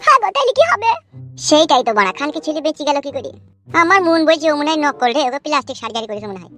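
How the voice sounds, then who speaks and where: A woman voicing an excitable, foul-mouthed young village man in a Bangla comedy cartoon, with a coarse, slangy, agitated manner. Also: high-pitched, rapid-fire, soft background music. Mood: suspicious.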